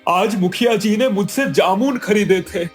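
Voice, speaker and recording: A man's voice: high-pitched, measured pace, soft background music. A man playing a poor, kind-hearted young villager in a cartoon moral story, earnest and pleading. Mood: sad, pleading.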